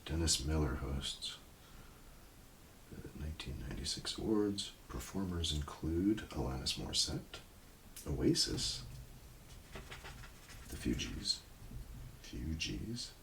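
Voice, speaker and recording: Man, extremely deep voice, slow pace, soft-spoken casual ASMR, occasional whispering. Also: lively intonation, rough recording. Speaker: ASMR roleplay performer. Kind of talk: ASMR, casual muttering while browsing TV listings. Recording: clear background noise, page rustling and clicks. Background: page flipping and highlighting sounds.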